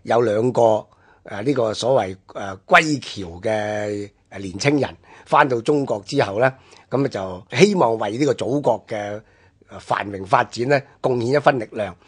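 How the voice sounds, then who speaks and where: A man with a Hong Kong Cantonese accent explaining history on a radio programme in a halting, discursive way. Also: fairly low pitch, husky. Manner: halting, discursive, with drawn-out phrase-ending particles. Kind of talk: unscripted explanatory history talk.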